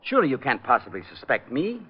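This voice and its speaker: An actor playing a nervous, indignant songwriter under suspicion in an old-time radio crime drama. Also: male voice, mid-range voice, huge pitch swings, fast, husky. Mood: indignant, flustered. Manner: flustered, protesting. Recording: muffled vintage radio recording.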